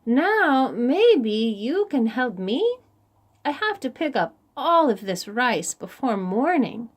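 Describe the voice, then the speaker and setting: A woman voicing a humble, kind young man in a storybook, earnest, polite and expressive. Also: a little high, slow pace, pitched above the performer's own voice, heavily acted. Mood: hopeful.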